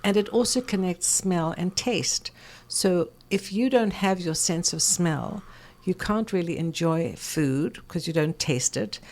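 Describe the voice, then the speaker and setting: Low-voiced older South African woman telling stories. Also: measured pace, a little mellow. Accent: English South African. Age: 60s. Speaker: interview guest, fragrance expert. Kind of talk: conversational personal storytelling.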